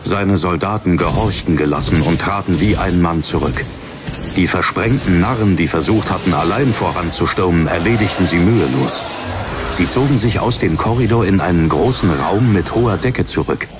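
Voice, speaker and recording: A man's voice: low-pitched, normal speed, music in the background. A man reading a dramatic fantasy audiobook as narrator.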